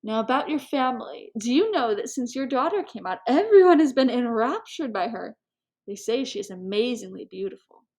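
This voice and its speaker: A woman's voice: fairly high pitch, animated, normal speed, darkish tone. A woman reading a storybook as an effusive, courtly society hostess who confides and meddles with an air of melancholy. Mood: admiring.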